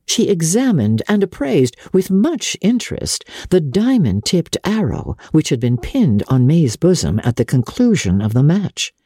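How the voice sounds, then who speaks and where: A woman narrates literary fiction with dramatic, measured expression. Her voice is dark and husky and moves widely in pitch. She delivers it as polished scripted storytelling. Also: sing-song, no room sound, clean studio sound. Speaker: audiobook narrator.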